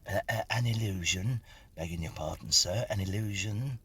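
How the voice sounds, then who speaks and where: A man's voice: fairly low pitch, normal speed, fairly bright tone, slightly rough, heavily acted. A man voicing a frightened, fawning Victorian schoolmaster in a ghost story, faint, stammering and deferential. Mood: fawning, evasive.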